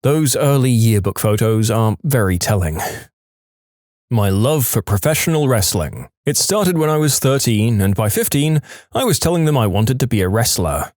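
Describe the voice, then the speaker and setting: A man's voice: mid-range voice, lively intonation, moderate pace, darkish tone, no room sound, studio quality. A man narrating listener stories for a channel in a punchy, upbeat scripted read.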